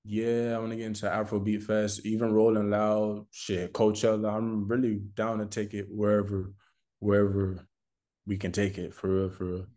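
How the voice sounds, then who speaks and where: American guy from florida, deep-ish laid back mellow voice, slangy chat like an artist being interviewed. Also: monotone, moderate pace. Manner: informal, slangy, repeats phrases for emphasis.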